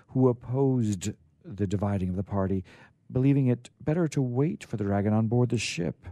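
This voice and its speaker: A man's voice: on the low side, mellow, no room sound. A man hosting a radio show, chatting casually and reading old stories aloud.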